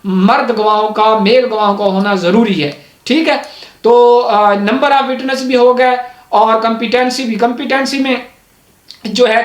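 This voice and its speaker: A man with a Pakistani accent and a notably high voice teaches law in a conversational way. He goes back over his points and checks in often. The room rings with clear echo around his words. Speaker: legal educator. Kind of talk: informal legal explainer. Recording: echoey, reverberant room.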